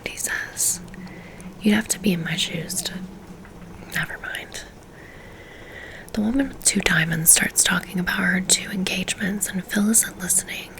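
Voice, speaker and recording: A woman reading a first-person novel aloud in a soft, intimate whisper, in a steady ASMR style. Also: mid-range voice, rough recording. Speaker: audiobook reader.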